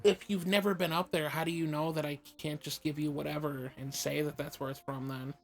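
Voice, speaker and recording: A woman's voice: deep voice, steady tone, fairly quick. A woman voicing an awkward, easygoing young adventurer in an improvised comedic fan dub, hesitant and conversational. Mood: puzzled.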